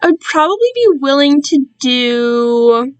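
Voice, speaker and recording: Wysoki, niespieszny głos kobiety, rozgadanej i autoironicznej. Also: female voice, sits high, very animated, slow pace, no echo. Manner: rambling, self-deprecating, easily distracted. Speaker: streamer reading own fan-written work to viewers. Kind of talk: casual live-stream chat.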